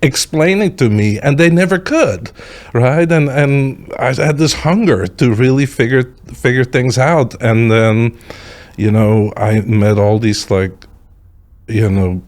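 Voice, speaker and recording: A man's voice: mid-range voice, slow, darkish tone. A middle-aged man from Belgium with a Belgian accent, telling his personal story conversationally as a podcast guest.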